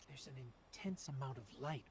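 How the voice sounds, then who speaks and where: A man's voice: medium pitch, expressive, normal speed, vocal fry, noisy background. A man playing a small, devoted robotic companion in a video game, speaking with earnest hope. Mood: awed. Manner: earnest, solemn encouragement. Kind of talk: dramatic video game dialogue.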